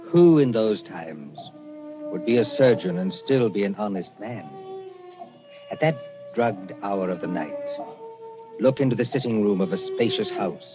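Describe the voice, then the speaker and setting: An actor playing a grave, ominous storyteller, narrating a gothic 1940s radio drama in a deliberate, dramatic way. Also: male voice, fairly low pitch, animated. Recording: dull vintage 1940s radio recording, music.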